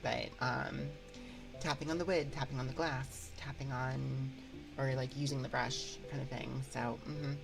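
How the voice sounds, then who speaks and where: A woman streamer talks casually to her viewers in a low voice edged with vocal fry. She takes her time, hesitating and rambling in a giggly manner as she speaks into a binaural microphone. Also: fairly even intonation, a little slow. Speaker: streamer hosting an ASMR livestream. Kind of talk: casual livestream chat with viewers. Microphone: binaural 3Dio ASMR microphone. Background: music.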